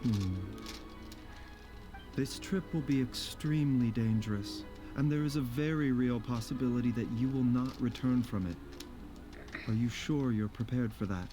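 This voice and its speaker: Game role: male actor as composed scholarly doctor, measured, serious, medium register. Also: medium pitch, natural voice. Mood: solemn. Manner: measured, formal, careful diction. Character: calm, grave, learned male doctor and herbalist. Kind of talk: video game voice acting. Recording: game music under the voice.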